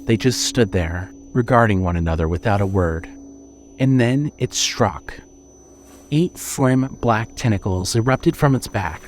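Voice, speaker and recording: A man narrating scripted horror fiction in a measured, dramatic storytelling manner. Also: medium pitch, a little hiss or hum.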